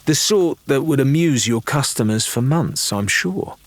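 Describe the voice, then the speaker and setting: A man's voice: mid-range voice, expressive, a little slow, dry recording, voice pushed up, lightly acted. A man playing a bold, cheeky young female pirate in an audiobook, speaking in a wheedling, mock-polite way. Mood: sly, persuasive. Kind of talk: audiobook character voice.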